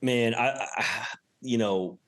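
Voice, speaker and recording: A man's voice: mid-range voice, slow, fairly bright tone. A man speaking as an interview guest in casual, emphatic, informal talk about his own experience. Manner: emphatic, informal, mild swearing. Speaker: podcast guest, real estate investor and business owner.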